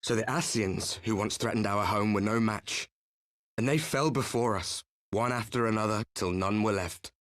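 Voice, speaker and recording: Guy doing a stern doomed warrior for a game cutscene, lowish voice, very close and dry, solemn storyteller delivery. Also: fairly bright tone, no room sound, lightly acted. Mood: solemn, grave. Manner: measured, solemn, theatrical storytelling. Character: grim male warrior, fallen hero from a doomed world, resolute. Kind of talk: video game cutscene voice acting.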